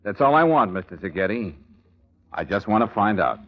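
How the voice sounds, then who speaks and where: Lively wisecracking American sleuth. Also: male voice, lowish voice, moderate pace. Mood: casual, probing. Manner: wry, breezy, colloquial. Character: wisecracking, easygoing male amateur sleuth. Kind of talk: 1940s radio drama acting. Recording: muffled vintage radio recording.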